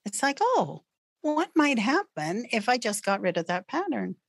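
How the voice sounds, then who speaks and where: A woman guest on a podcast, explaining ideas in an animated way and often checking in with "right?" and "okay.". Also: on the high side, normal speed, breathy edge, no room sound, studio quality. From North America. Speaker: guest expert on patterns.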